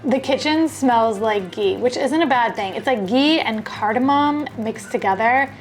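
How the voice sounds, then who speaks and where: Female food vlog host, high voice, exclamatory and conversational, music underneath. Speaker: cooking show host. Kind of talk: casual food vlog chat. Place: indoor kitchen.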